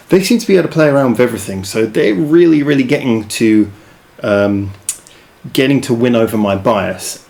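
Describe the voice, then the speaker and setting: A man's voice: mid-range voice, creaky, darkish tone. A man reviewing a song in a casual, rambling way, self-deprecating and full of restarts. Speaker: music reaction reviewer. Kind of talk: casual chat.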